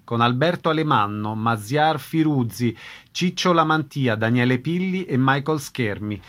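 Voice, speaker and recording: A man reading a scripted radio announcement with programme details and credits. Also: medium pitch.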